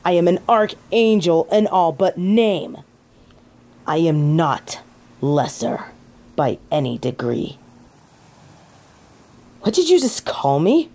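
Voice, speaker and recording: A woman's voice: on the low side, sing-song, slow, pauses often, dark tone, heavily acted. A woman playing a snobby, indignant fallen angel in an audio roleplay, with pompous, formal, archaic phrasing and an easily affronted manner. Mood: outraged. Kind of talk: scripted audio roleplay acting.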